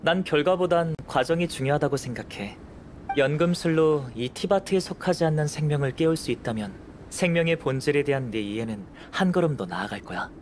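An actor voicing a calm, scholarly young male alchemist in a game, soft-spoken and analytical, with music playing behind. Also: on the high side, a little fast, a little hiss or hum, natural voice. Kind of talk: game voice acting.

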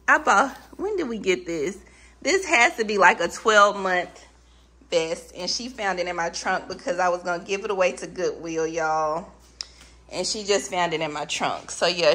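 A woman's voice: mid-range voice, bright, forceful tone. A woman in her thirties chatting casually to her viewers, filming from behind the camera in her home kitchen. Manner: chatty, talks straight to viewers, says y'all often. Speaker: vlogger doing a grocery haul. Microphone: handheld camera, talking from behind it.

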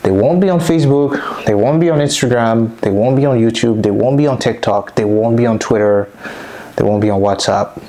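A man in his thirties from Congo, a native French speaker, giving an earnest, measured vlog monologue into a lapel mic in a small dim room at home. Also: mid-range voice, mellow, low-quality recording. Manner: measured, earnest, reflective delivery. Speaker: vlogger. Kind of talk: scripted personal vlog monologue. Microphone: clip-on lapel mic.

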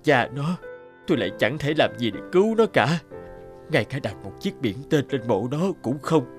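An actor playing a gentle, grieving young father from a village in a cartoon, earnest and pleading. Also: mid-range voice, sing-song, normal speed, natural voice. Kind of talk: cartoon dub acting. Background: background music.

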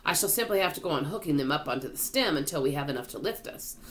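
A woman voicing an earnest, thoughtful young boy in a storybook, in a measured manner. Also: deep voice, animated.

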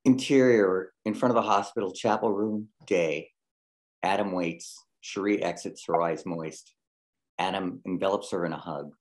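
A man's voice: deep voice, normal speed, a little mellow. A man reading screenplay stage directions aloud as scripted narration.

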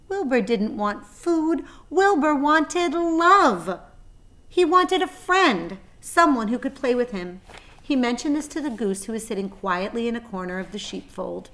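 A woman's voice: medium pitch, fairly bright tone. A woman in her forties reading a children's story aloud expressively, as a storyteller. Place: small plain room indoors.

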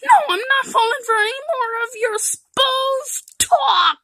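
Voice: very high-pitched, measured pace, crowd noise. A performer voicing an anxious, stubborn male cartoon turtle in an amateur fan dub, emphatic and indignant. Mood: indignant, panicky.

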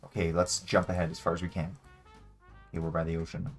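A young man chatting casually as a guest player, thinking aloud in a low-key way as he plays a game. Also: completely flat delivery, quick pace, creaky. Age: young adult. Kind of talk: casual chat while playing a game. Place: indoor room, sharing a webcam frame with a friend. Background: music.

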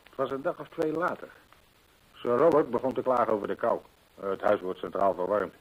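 An actor playing an earnest, discreet employee in a radio play, respectful and hesitant, repeating himself. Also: male voice, medium pitch, fairly even intonation, brisk, husky, poor audio. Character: deferential horse-stable employee, earnest, discreet witness. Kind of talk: Dutch radio play acting.

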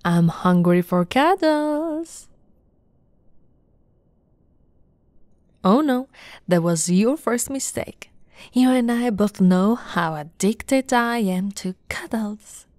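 A young woman playing an affectionate, teasing lamia girlfriend in an ASMR roleplay, soft-spoken and often whispering, with a breathy, coaxing delivery close to the mic. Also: fairly high pitch, animated, dry recording. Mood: playful, teasing. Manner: slow, breathy, coaxing delivery with pet names. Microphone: close, dry microphone.

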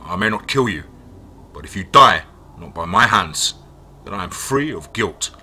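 A man voicing a huge, arrogant male bear god who speaks in slow, threatening pronouncements. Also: low-pitched, expressive, bright, forceful tone, slight background noise, pitched below the performer's own voice, exaggerated voice. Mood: grave. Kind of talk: storytelling, voicing a character.

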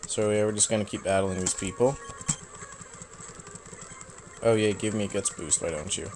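A young man casually commenting while he plays a video game, rambling and self-mocking, his voice slightly raspy from a cold. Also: on the low side, steady tone, moderate pace, a little mellow, a little hiss or hum. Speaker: let's play host.

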